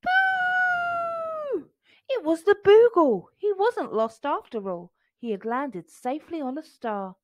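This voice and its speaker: A woman reads a storybook aloud for children. Her voice is fairly high and very animated, recorded close and dry. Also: unhurried, few pauses, no echo.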